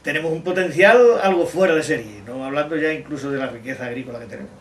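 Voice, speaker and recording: A middle-aged or older man from Extremadura in Spain, answering interview questions deliberately, often rephrasing as he goes. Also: a little high. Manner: deliberate, halting, rephrasing as they go. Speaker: interviewee, technology teacher and amateur poet. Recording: roomy, distant mic, faint noise.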